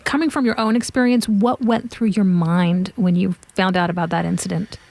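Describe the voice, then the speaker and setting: A woman hosting a public radio talk show, asking questions in a measured, composed way. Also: medium pitch, steady tone, vocal fry, darkish tone. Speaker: radio host.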